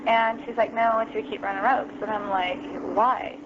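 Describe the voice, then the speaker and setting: A woman's voice: fairly high pitch, fairly even intonation, brisk. A young adult woman telling her story as an interview guest over the phone, casual and full of ums and you-knows. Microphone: phone call. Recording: lo-fi phone line, noisy.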